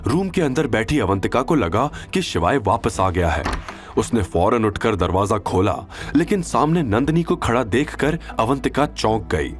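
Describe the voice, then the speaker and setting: Casting: male narrator for suspenseful serial fiction, medium pitch, very expressive, faint music underneath. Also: moderate pace. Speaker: audio drama narrator. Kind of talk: dramatic scripted story narration.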